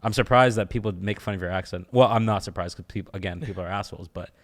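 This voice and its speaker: Lowish-voiced stammering male podcast host. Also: racing. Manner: stammering restarts, frequent "right". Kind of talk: casual podcast chat.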